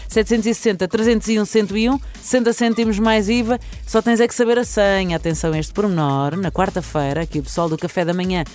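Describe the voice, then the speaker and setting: A woman's voice: medium pitch, fairly even intonation, quick pace, hardly any pauses, a little mellow. A woman with a European Portuguese accent co-hosting a radio show in a playful, casual, teasing way. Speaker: radio co-host. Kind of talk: casual radio chat and presenting. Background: music.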